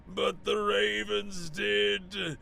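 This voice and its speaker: An actor playing the accusing ghost of a murdered victim in a radio mystery, with a gravelly voice and drawn-out delivery. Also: male voice, high-pitched, flat delivery, sharp tone, slight background noise, heavily acted. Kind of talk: radio mystery theatre acting.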